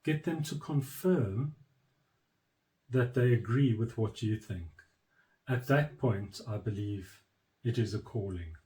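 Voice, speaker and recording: A man speaking as a church leader in an earnest, measured address, pausing often between short phrases and turning firm on commitment. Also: fairly low pitch, mellow.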